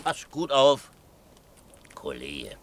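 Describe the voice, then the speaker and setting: An actor playing a gruff, stubborn, cheeky building-site worker in a naturalistic German radio drama, with a gravelly voice and a mocking, colloquial way of talking. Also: male voice, sits low, huge pitch swings, drawn-out words, a bit bright, a little hiss or hum, heavily acted. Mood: gruff, chatty.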